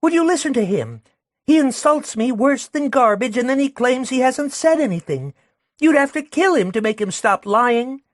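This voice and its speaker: A man's voice: expressive, normal speed, no room sound, clean studio sound. A man voicing a furious young working-class woman who rails and jeers with scorn. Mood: indignant. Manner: shrill, jeering, railing.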